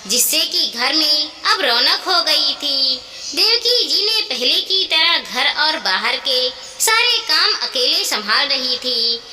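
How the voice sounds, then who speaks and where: A woman narrating a scripted moral story in an expressive, earnest way. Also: sits high. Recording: echoey reverberant sound, clear background noise.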